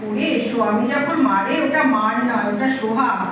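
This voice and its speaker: A woman playing a bossy, sharp-tongued village in-law in an audio play, scolding and nagging. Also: on the high side, normal speed, close to an everyday voice. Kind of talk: amateur audio play acting. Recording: echoey hall, far from mic, background noise.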